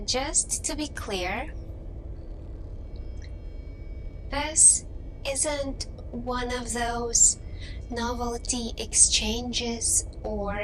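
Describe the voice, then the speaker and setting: Over clearly audible ambient noise, a woman performs an alien woman conducting an intimate ASMR interview. Her voice is on the high side and extremely slow. She is earnest and gently probing, leaving long, deliberate pauses between soft, hesitant phrases. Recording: clearly noisy background with ambience.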